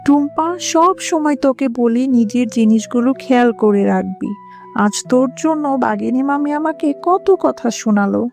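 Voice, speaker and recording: A woman's voice: on the high side, moderate pace, vocal fry, darkish tone. A woman voicing a harried, chiding fox mother in a children's cartoon. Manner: chiding, explaining herself. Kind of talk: children's animated fable acting. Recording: music under the voice.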